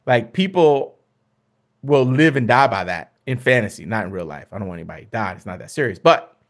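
Chatty man, slightly hoarse. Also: on the low side, lively intonation, fairly quick. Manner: chatty, talks to viewers, stammers and repeats himself. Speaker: fantasy basketball host. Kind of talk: casual mock draft commentary.

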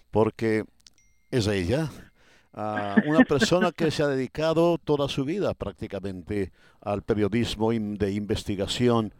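A man's voice: on the low side, dry recording. A man hosting a podcast interview, introducing his guest with courteous, elaborate phrasing. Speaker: podcast host.

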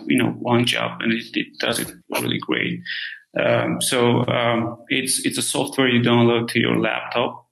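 A man with an Armenian accent explaining things casually as an interview guest, halting and repeating his words. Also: mid-range voice, gravelly, a bit bright, roomy sound, poor audio. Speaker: tech company co-founder and CEO, interview guest.

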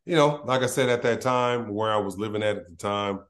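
A man from Los Angeles hosting a casual interview, talking in a laid-back, slangy way with a deep voice and ready laughter. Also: fairly quick. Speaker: podcast host.

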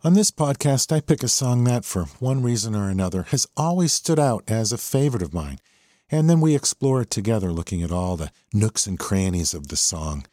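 Low-pitched, animated American man hosting a podcast. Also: brisk, dry recording, clean studio sound. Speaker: podcast host. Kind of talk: music appreciation podcast commentary.

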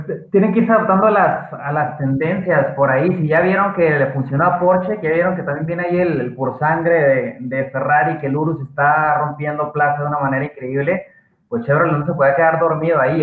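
A man from Chihuahua, Mexico, a guest giving laid-back car commentary over a tinny, echoey line. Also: fairly high pitch.

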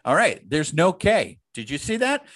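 Lively joking podcast host man. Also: fairly high pitch, moderate pace. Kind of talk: casual podcast chat.

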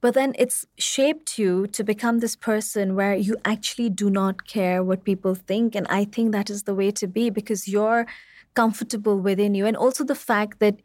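A woman's voice: medium pitch, steady tone, normal speed, no echo, studio quality. Effusive woman podcast host. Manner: warm, affirming, effusive. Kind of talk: conversational interview.

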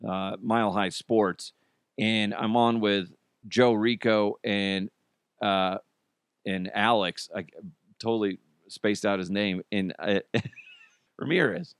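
A man hosting his own podcast in casual chat, his voice raspy and strained from talking a lot, rambling and poking fun at himself. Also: lowish voice, no room sound, clean studio sound. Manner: rambling, self-mocking, many restarts. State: hoarse, strained voice. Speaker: podcast host.

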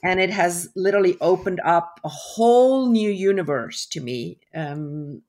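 A middle-aged woman with a lowish voice, unhurried, talking as an interview guest over a video call. She is reflective and restarts her sentences often, circling back before she lands a thought. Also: expressive, darkish tone. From Switzerland. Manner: reflective, with frequent restarts and fillers. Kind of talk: casual interview conversation.

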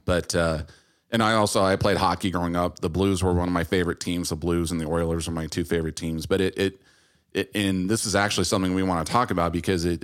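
A middle-aged American man hosting a podcast, speaking in an earnest, halting conversational way. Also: low voice. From Utah, United States. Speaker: podcast host. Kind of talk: conversational podcast interview with scripted-sounding introduction.

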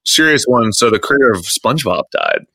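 A man's voice: mid-range voice, expressive, normal speed, gravelly, pushed and bright, dry recording. A man hosting a casual podcast chat, rambling with frequent restarts and fillers. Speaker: podcast host. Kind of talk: casual chat about internet posts.

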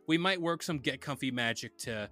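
A man's voice: medium pitch, lively intonation, normal speed, fairly bright tone, soft background music. A man hosting a casual podcast, chatting in a laid-back, rambling way and reading sponsor plugs. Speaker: podcast host.

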